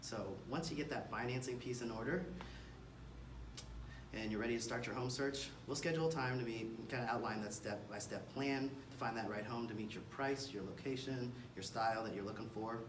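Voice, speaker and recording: An American man from Kansas City giving a seminar presentation as a real estate agent, speaking haltingly with frequent pauses. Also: mid-range voice. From Kansas City, United States. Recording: distant mic, roomy echo, clear background noise.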